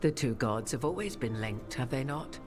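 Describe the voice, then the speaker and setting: A woman playing an imperious, enigmatic sorceress in a fantasy game, with archaic, measured and knowing speech. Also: very deep voice, lively intonation, darkish tone, a little hiss or hum. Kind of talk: dramatic fantasy game dialogue.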